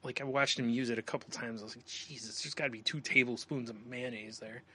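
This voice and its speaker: Bright, forceful-voiced man chatting casually. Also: medium pitch, fairly even intonation, quick pace, a little hiss or hum. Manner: joking, often chuckling. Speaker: podcast co-host. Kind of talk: casual comedic chat and anecdotes.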